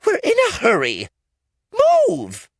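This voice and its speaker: A man reading a storybook, voicing a rude, impatient young farm girl who snaps curt orders in a high voice. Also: huge pitch swings, takes it slowly, sharp tone, no echo, studio quality, full character voice. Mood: annoyed. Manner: snapping, curt.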